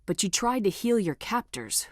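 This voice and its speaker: A woman's voice: medium pitch, sing-song, normal speed, no echo, clean studio sound. A woman playing a steely but compassionate military starship commander in a video game, speaking in a firm, direct and controlled way. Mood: gentle, probing. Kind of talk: scripted video game dialogue acting.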